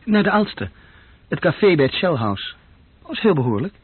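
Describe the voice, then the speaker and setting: Awkward earnest young office guy in a radio play, lively pitch, dry phone-like sound. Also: moderate pace, breathy edge, dry recording. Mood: matter-of-fact. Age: young adult. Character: earnest, awkward twenty-year-old office worker courting a girl. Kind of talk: radio drama dialogue. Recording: narrow-band old radio play recording, very dry.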